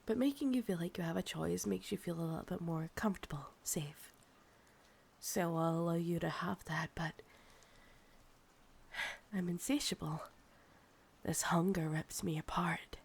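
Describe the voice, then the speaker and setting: Casting: actress playing a Scottish vampiress thousands of years old, possessive yet soothing, unhurried, low gravelly murmur and whispers for ASMR roleplay. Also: female voice, exaggerated voice. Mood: possessive, reassuring. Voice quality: breathy, often dropping to a whisper. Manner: slow, coaxing, sighing. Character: ancient insatiable female vampire, possessive, soothing and sickly.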